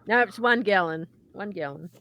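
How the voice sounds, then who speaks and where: A woman's voice: medium pitch, moderate pace, pushed and bright, no echo. A woman playing a tabletop game, chatting casually and joking with the group.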